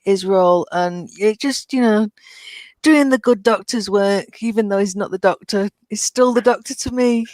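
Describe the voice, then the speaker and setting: A woman's voice: medium pitch, moderate pace, darkish tone, no room sound. A woman talking casually as a panelist on a fan podcast. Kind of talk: casual chat.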